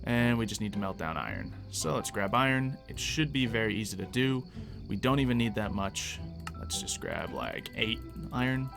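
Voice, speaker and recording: A man's voice: on the low side, animated, moderate pace, creaky, over music. A man giving casual, chatty gameplay commentary as a let's-player, thinking aloud as he goes. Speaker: video game let's-player.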